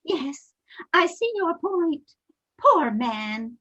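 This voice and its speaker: Woman playing a very high-voiced, genteel old lady. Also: big pitch swings, drawn-out words, fairly bright tone, lo-fi sound, heavily acted. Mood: wistful, sympathetic. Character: gentle, tipsy, eccentric old lady, sweetly hospitable. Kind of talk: comedic readers theater acting.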